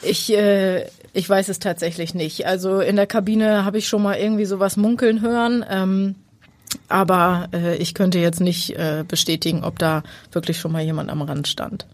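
A woman football player answering interview questions, measured and hesitant with fillers. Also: on the low side, steady tone, dry recording. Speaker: women's football player, guest.

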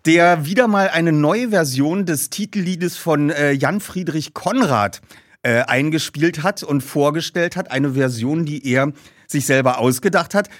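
Higher-voiced man hosting, close dry sound. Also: a little slow, no echo. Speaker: host. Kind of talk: scripted ad read and casual show presenting.

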